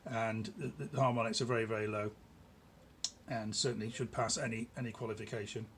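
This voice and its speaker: A middle-aged man giving a casual, informal product demonstration in a small indoor workshop, explaining haltingly and repeating words for emphasis. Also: on the low side, fairly even intonation, fairly bright tone. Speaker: electronics builder presenting their own product. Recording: clear background noise in a room.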